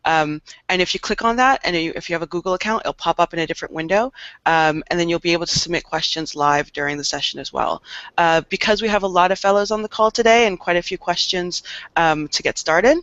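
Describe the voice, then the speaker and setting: A woman's voice: lowish voice, fast talker, fairly bright tone, no room sound. A woman facilitating a live video call Q&A, with many hesitant fillers. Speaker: host and facilitator. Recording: dull lo-fi video call audio.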